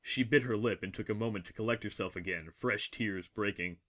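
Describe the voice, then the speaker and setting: Low, bright-voiced man narrating a story. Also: fairly quick. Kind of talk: dramatic scripted story narration.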